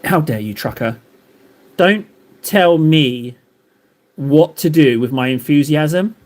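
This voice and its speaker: A man with a fairly high voice chats casually on a live stream as he paints. He rambles, cracks self-deprecating jokes and answers his viewers in a loose, playful way. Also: lively intonation, takes it slowly, a little mellow. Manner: rambling, jokey, banters with chat.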